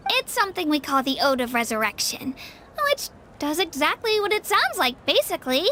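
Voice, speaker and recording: A woman voicing a shy, good-natured young girl warrior in a game, soft and modest. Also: high voice, very animated, normal speed, slight background noise, exaggerated voice. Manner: soft, modest, a bit bashful. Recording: game audio under music.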